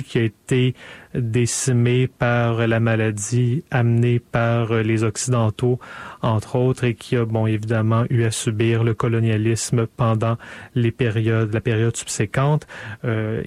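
A man hosting a radio music show, relaxed and often hesitating. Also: mid-range voice, dry recording. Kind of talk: casual radio presentation.

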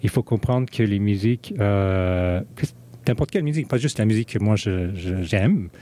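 Hesitant low-voiced man, mellow tone. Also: normal speed. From Canada. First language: English. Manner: hesitant, many fillers and restarts. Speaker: DJ guest. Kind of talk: interview conversation.

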